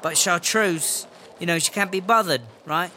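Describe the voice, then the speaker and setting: A man's voice: sits high, normal speed, pushed and bright. A man playing a condescending, defensive prisoner in a comedy audio drama, full of rhetorical questions. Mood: smug, defensive. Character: smug, dismissive British inmate proud of studying. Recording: noisy room ambience.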